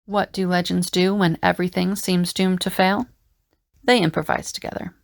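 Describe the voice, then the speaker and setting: A woman playing a composed, wry adventurer who narrates an audio drama in measured storytelling. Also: fairly low pitch, level tone, creaky, dry recording, clean studio sound.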